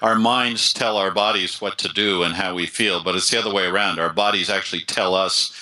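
A man's voice: low voice, fairly even intonation, a little fast, pushed and bright, low-quality recording. A man being interviewed as a voice coach and author, explaining with confidence and often restarting his phrases.

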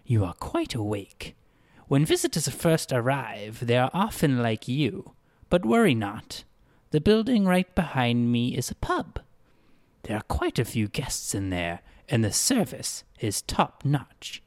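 A man's voice: mid-range voice, wildly swooping pitch, measured pace, no room sound. A man voicing an odd, neckless male town greeter who is relentlessly cheerful and speaks in a sing-song, overly polite way in a storybook reading. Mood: eerily cheerful.

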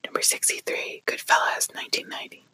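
This is a hushed ASMR whisper, airy and breathy, with a very bright, crisp edge. It moves widely up and down in pitch as it reads each entry, and it sounds close and dry with almost no room around it. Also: fast, no echo. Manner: soft whispering, quietly acting out each quote before the title, apologizing for slips. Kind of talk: whispered ASMR countdown of film quotes.

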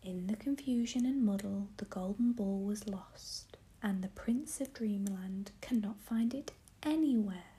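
A woman voicing a gracious, commanding fairy queen in a soft whisper. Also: mid-range voice, unhurried, few pauses, slightly rough. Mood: stately. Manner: whispered, stately.

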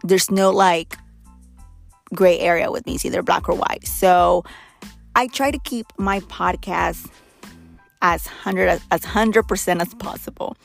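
Hoarse, gravelly-voiced woman podcast host. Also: on the low side, unhurried, faint music behind. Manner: blunt, candid, chatty. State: losing her voice, hoarse. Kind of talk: casual solo podcast talk.

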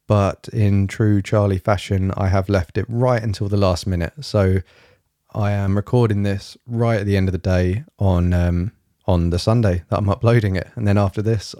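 A young man hosting his solo podcast in a rambling, fairly monotone way, with lots of fillers and a slightly rough throat. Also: on the low side, normal speed, no room sound, clean studio sound. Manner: rambling, many fillers and restarts. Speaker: podcast host. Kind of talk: casual solo podcast chat. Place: home, evening, quiet room.

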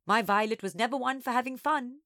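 A woman's voice: on the high side, brisk, fairly bright tone, dry recording, studio quality, voice pushed up. A woman voicing a mischievous, gleeful old woman in a storybook, gasping and conspiratorial in manner. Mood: wry. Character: mischievous, sputtering old woman, gleeful former schoolgirl prankster.

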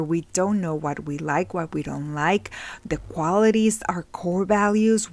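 A middle-aged woman from Mexico speaks in a fairly low voice. Her talk is earnest and reflective, full of "like" and "right?" as she works through ideas on healing. The recording is dry and close. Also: dry recording. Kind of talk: casual motivational talk.

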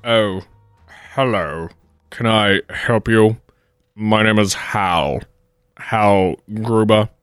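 A man playing a suave, scheming German villain with a measured, theatrical delivery in a 1940s-style radio play. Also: on the low side, a bit bright, clear, resonant tone, no room sound, clean studio sound, soft background music. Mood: feigned politeness.

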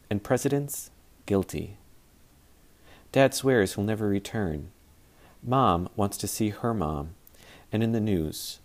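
A man's voice: fairly low pitch, darkish tone. A man, a teacher reciting a poem aloud. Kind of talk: poetry reading.

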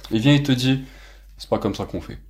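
A young man chatting on a podcast, telling stories in a halting way and repeating words. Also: mid-range voice, a little mellow, a little hiss or hum. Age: young adult. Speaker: podcast co-host. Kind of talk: casual chat.

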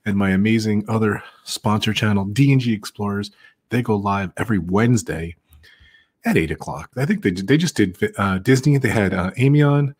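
Husky-voiced man hosting a live stream. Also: on the low side, normal speed. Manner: upbeat, greets guests warmly, thanks viewers. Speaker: host of a live stream hangout. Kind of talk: casual live stream chat.

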